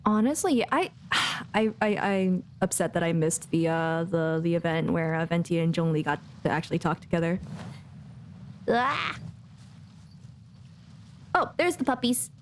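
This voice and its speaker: A woman's voice: on the low side, animated, measured pace, pauses often, a little hiss or hum. A woman giving casual, chatty live commentary as a game streamer, with playful asides. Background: faint game music.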